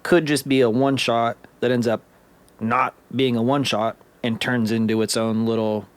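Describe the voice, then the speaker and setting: Animated male podcast co-host. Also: mid-range voice, normal speed, smooth. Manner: animated, rambling. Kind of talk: casual podcast chat.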